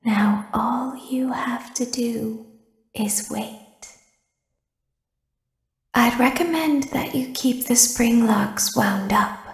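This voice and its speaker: A woman voicing a childlike female animatronic robot in a video game, eerie and secretive, with a hushed, breathy, deliberate delivery. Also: on the high side, echoey room. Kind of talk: video game character monologue.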